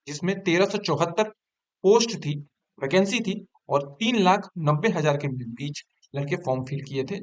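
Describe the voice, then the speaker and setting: A man with a fairly high voice talks as an online presenter. He explains things informally, like a tutor addressing students, and repeats key points and numbers to drive them home. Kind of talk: informal explanatory talk to exam candidates.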